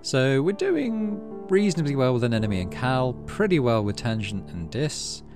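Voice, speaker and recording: A man hosting a gaming channel, low-key, casually commenting on play and reading story text aloud. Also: sing-song, moderate pace, music in the background.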